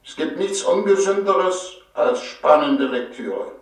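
Slow, creaky, world-weary minister. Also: male voice, a little high, animated, exaggerated voice, rough recording. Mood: weary disgust. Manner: slow, languid, deliberate delivery. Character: world-weary foreign minister, pompous, self-pitying, older man. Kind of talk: 1950s German radio drama acting.

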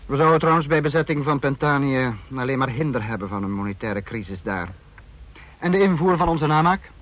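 A male actor plays a calculating leader of a fascist state in a radio drama. He questions and weighs things with measured authority. The sound is dull, like an old broadcast, and his pitch sits in the middle. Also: animated, a bit bright, lightly acted. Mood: pensive, calculating. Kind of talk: Dutch radio drama acting. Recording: dull vintage radio recording.

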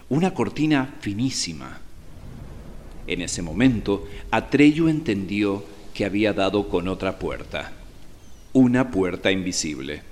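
A man's voice: lowish voice, slight background noise. A man narrating a fantasy quest as a solemn storyteller in a radio drama. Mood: suspenseful. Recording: long reverb, loud music behind.

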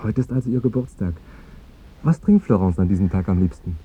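An actor playing a courteous, guileless fiancé who talks in an eager, earnest and tactless way in a radio drama. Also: male voice, fairly low pitch, expressive, fairly quick, mellow, husky. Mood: mildly surprised. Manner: polite, chatty, earnest. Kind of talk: 1950s German radio drama acting. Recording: dull 1950s radio recording with music.

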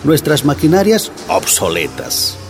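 A man's voice: a little high, wildly swooping pitch, gravelly, faint music behind, full character voice. An actor playing a smug, scheming mine-owning tin baron in a radio drama, gloating as he talks. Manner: slow, gloating.

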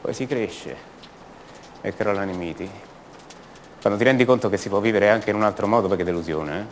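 A man's voice: fairly low pitch, slight background noise, natural voice. An actor playing a restless, defensive young man from a privileged family in a radio monologue, hesitating and repeating words. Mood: bitter, resigned. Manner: hesitant, halting, repeats words, rhetorical tags. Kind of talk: theatrical monologue, radio drama.

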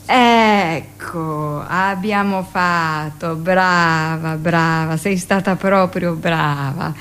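A woman voicing a brisk, patronizing midwife who coaxes and instructs a patient in a radio drama monologue. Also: fairly low pitch, fairly bright tone, clean tone. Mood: coaxing, brisk. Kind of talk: acted quote in a theatrical monologue.

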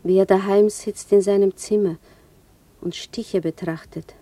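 An actress as a dreamy woman, soft and mellow. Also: mid-range voice, steady tone, dry recording, natural voice. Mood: wistful musing. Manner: musing, halting, half to herself. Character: dreamy, wistful woman lost in her own thoughts. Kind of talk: radio drama interior monologue. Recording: distant microphone, music behind. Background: music.

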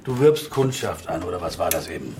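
Gruff bar boss, noisy room. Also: male voice, low voice, sing-song, a little fast. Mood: stern. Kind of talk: naturalistic German radio drama.

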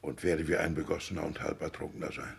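A petty-bourgeois father of sixty in a radio drama. The voice is very low with a gravelly fry. He grumbles drily and hesitantly, sounding out of his depth. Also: level tone, fast, full character voice. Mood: bewildered. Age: 60s. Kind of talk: German radio play acting.

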